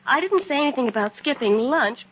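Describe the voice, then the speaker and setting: An actress playing an eager, devoted young assistant in an old-time radio crime drama. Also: female voice, high voice, animated, brisk. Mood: eager, attentive. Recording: dull, noisy old radio recording.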